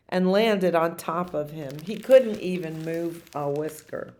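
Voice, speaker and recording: A woman in her sixties reading aloud at storytime in an expressive way, with chatty asides about the pictures and questions for her listeners. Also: on the low side. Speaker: library storyteller reading picture books to children. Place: library activity room, indoors.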